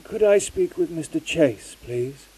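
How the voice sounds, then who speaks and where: A man voicing a quiet, formal, grieving middle-aged caller in an audiobook, speaking softly and politely. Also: on the high side, fairly even intonation, unhurried, pitched above the performer's own voice, low-quality recording. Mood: subdued. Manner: subdued, formal, soft-spoken. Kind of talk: audiobook character voice.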